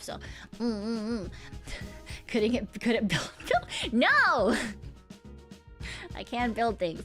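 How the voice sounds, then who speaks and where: A young woman with a slightly high voice chats loosely on a stream, humming and giggling between thoughts. She rambles through fillers and drops a casual swear now and then. Soft music plays faintly behind her. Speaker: VTuber streamer.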